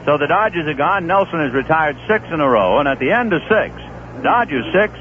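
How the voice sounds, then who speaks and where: A man calls the baseball game in an easygoing, folksy way, with chatty asides between pitches. His voice sits a bit high and swings lively up and down. It comes through a thin, tinny old AM radio broadcast with faint hiss. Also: a little fast. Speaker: play-by-play baseball announcer. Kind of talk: radio play-by-play commentary.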